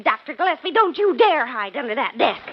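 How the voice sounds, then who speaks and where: An actress playing a sharp-tongued, bossy female hospital secretary in a 1940s radio drama. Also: high-pitched, sing-song, a little fast, a little hiss or hum. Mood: scolding. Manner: scolding, bossy. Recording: dull old radio recording.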